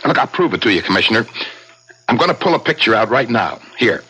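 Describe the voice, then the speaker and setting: An actor playing an agitated crooked police inspector in a 1970s radio drama, urgent and insistent. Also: male voice, medium pitch, big pitch swings, fast, bright, forceful tone, breathy edge, full character voice. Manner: urgent, stammering when rattled. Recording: dull 1970s radio broadcast recording.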